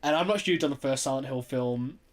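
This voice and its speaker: A man's voice: medium pitch, a little fast. A man co-hosting a podcast in casual, opinionated conversation. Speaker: podcast co-host.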